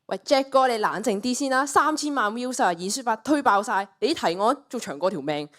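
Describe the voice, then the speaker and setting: A woman's voice: on the high side, animated, a little fast, fairly bright tone. A teenage girl with a Cantonese accent playing a brash, flippant young woman in a radio play, scoffing and cocky. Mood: dismissive. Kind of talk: student radio play acting.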